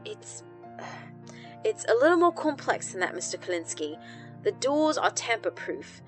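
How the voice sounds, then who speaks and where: A woman's voice: a little high, sing-song, a little slow, pitched above the performer's own voice. Lady doing a panicky lady doctor over an intercom, stammery and formal, cracking composure, music behind. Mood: nervous, evasive. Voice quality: cracked, breathy. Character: frantic female doctor losing her composure. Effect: intercom speaker.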